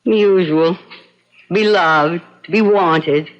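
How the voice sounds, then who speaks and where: A woman's voice: on the low side, slow. An actress playing a stubborn, tart elderly woman in a 1970s radio drama, with theatrical delivery. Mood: brisk. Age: older. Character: stubborn elderly woman spurning a beloved's ghost. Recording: muffled, noisy old radio recording.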